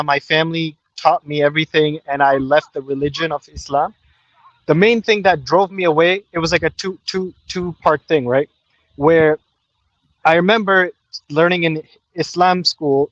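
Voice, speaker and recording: A man in his twenties with a slightly high voice calls in from outdoors, his sound dull and dry. A native Urdu speaker from Pakistan, he tells personal stories in informal, slangy talk. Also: a bit bright, dry recording. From Pakistan, later Canada. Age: 20s. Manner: informal, with frequent "you know" and slang. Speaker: caller. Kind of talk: casual personal storytelling.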